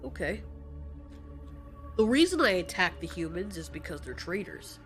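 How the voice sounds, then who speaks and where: A woman voicing a callous male giant reptilian monster in an audio drama, deadpan, dismissive and sarcastic. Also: fairly low pitch, normal speed, faint music behind. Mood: matter-of-fact. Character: cocky, callous male giant reptilian kaiju, coolly menacing.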